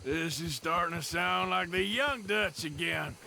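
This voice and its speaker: Dry, drawling American outlaw. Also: male voice, on the high side, a little slow, creaky, bright, forceful tone, slight background noise, exaggerated voice. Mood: wry. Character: rugged, dry, world-weary outlaw gunman. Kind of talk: cinematic western game acting.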